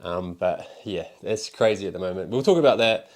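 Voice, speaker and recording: Young kiwi guy vlogging, kinda low voice, rambly, swears now and then. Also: a little fast, a little mellow. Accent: New Zealand. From New Zealand. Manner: rambling and candid, with frequent fillers, laughs and swearing. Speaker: card seller and content creator. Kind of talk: casual talking-to-camera vlog.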